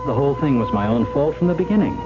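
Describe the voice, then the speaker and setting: A man's voice: mid-range voice, animated, rapid-fire, lightly acted. An actor playing an easygoing, wisecracking man in an old radio drama, with a wry, confiding and theatrical delivery. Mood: rueful. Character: easygoing, wisecracking wealthy man haunted by a lucky charm. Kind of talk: 1970s radio drama acting, confiding narration and banter. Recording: dull old radio broadcast with music.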